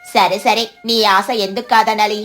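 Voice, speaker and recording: Woman as a gracious older village matron, lower-toned. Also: fairly even intonation, normal speed, a bit bright, faint music behind, lightly acted. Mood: kind, cautious.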